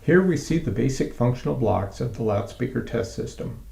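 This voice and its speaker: A man presenting scripted technical training in a measured, explanatory way with careful enunciation. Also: medium pitch, expressive, vocal fry, a little mellow, roomy sound. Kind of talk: scripted instructional narration.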